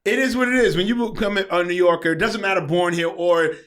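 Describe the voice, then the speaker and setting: A man in his forties with a New York accent, hosting a casual interview chat in a relaxed, streetwise way. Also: high-pitched, brisk. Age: 40s. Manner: relaxed, streetwise, keeps saying "you know what I'm saying". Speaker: podcast host.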